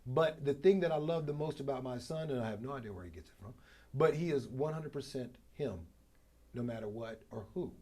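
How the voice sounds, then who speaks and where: A man's voice: mid-range voice, a little fast, darkish tone. A middle-aged American man, a radio broadcaster being interviewed, tells stories in a polished, emphatic conversational way, repeating phrases for effect. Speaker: radio program director and morning show host, interview guest. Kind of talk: animated conversational storytelling.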